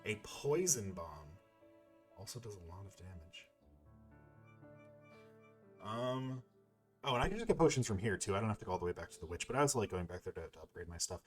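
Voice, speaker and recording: A man's voice: fairly low pitch, lively intonation, fairly quick, pauses often. Casual male gamer thinking aloud over music. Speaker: video game playthrough host. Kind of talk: casual gameplay commentary.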